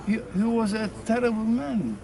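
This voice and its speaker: A man, giving halting spoken testimony outdoors, often repeating words as he searches for phrases. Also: very high voice, fairly even intonation, gravelly, a crowd in the background. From Poland. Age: 80s. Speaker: survivor interviewee. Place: outdoors at a memorial site. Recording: outdoor noise in background.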